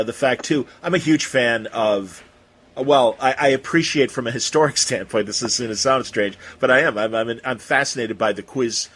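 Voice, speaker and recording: Husky-voiced man hosting a chatty interview. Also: medium pitch, a bit bright. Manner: enthusiastic, rambling, digressive. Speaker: podcast interviewer. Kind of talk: casual interview chat.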